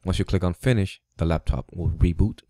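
A man narrates a computer how-to in a plain, instructive way, pausing now and then with an um. His voice sits very low and sounds dark and relaxed. The recording is dry, close on a desk microphone. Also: no echo. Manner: plain, instructive, slightly halting. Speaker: tutorial host. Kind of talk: step-by-step tech tutorial voice-over. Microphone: USB studio microphone.

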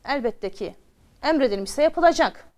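A woman's voice: high voice, lively intonation, normal speed, a little mellow, pitched above the performer's own voice. An eager storybook craftsman with dreams of riches, voiced by a woman. He sounds obliging and wishful.

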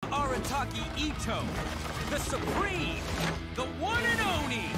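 A cocky young male oni gang boss in an anime game, boastful and theatrical. His pitch swings widely as he swaggers over loud music. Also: very animated, unhurried, exaggerated voice.

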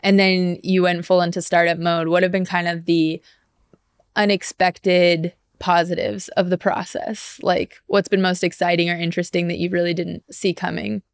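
Gravelly-voiced young woman podcast host. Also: lowish voice, level tone, no echo, studio quality. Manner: chatty, upbeat, quick with follow-ups. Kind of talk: casual interview questions. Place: large office workshop, indoors. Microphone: studio mic on a boom arm.

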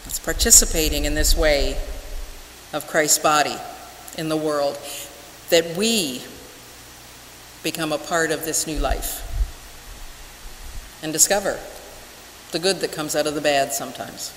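Casting: older female minister, very deliberate slow sermon delivery, reverberant sanctuary. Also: low-pitched, fairly bright tone. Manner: measured, deliberate, with emphatic pauses. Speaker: minister preaching. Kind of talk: church sermon. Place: large wooden church sanctuary, at the pulpit. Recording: echoey church hall with hiss.

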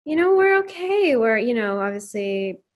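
Woman founder from Pakistan, thirties, earnest guest, measured and reflective. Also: on the high side, big pitch swings. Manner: earnest, thoughtful, with frequent ums. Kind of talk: interview conversation.

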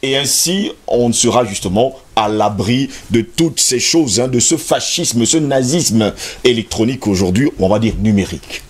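A man's voice: medium pitch, lively intonation, moderate pace, hardly any pauses, husky. Male TV host moderating a debate. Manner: prompting, adds short interjections. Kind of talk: morning show moderation. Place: TV studio.

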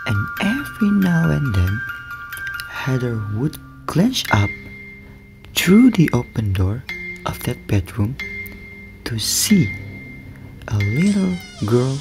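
A woman storyteller giving a dramatic reading of a scary story, with deliberate, suspenseful phrasing over music. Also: very deep voice, big pitch swings. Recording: music behind the voice. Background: chiming mallet music.

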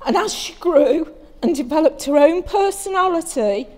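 A woman's voice: very high voice, expressive, moderate pace, a little mellow. A middle-aged English woman, mother of the bride, reading a wedding speech from notes into a handheld mic in a careful, heartfelt way. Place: glass-walled wedding reception room. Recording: hall reverb with music under it.

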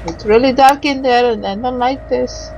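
A woman doing let's play commentary, reading game dialogue aloud haltingly and adding muttered asides. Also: high-pitched, a little mellow, highs cut off, a little hiss or hum.

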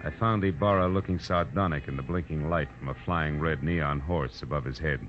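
Very deep hard-boiled radio detective. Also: male voice, brisk. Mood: wry, tense. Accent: American. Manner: terse, slangy, tough. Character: hard-boiled male private detective narrator, tough and wry. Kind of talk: 1940s radio acting, first-person detective narration. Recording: dull old radio recording with music.

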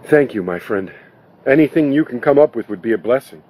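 A man voicing a glad-handing nightclub owner in a storybook, chummy and persuasive, with a husky voice. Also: medium pitch, expressive, fairly quick, a little mellow, lightly acted, low-quality recording. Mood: grumbling.

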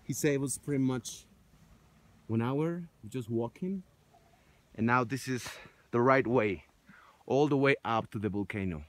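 A man's voice: mid-range voice, unhurried, no echo. A man in his early thirties with a Mexican accent, a native Spanish speaker, chatting casually and jokingly to viewers on a handheld camera. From Mexico City, Mexico. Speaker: vlogger. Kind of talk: casual vlog chat to camera. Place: outdoors, walking on a mountain forest road. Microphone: phone at arm's length. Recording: noisy outdoor handheld recording.

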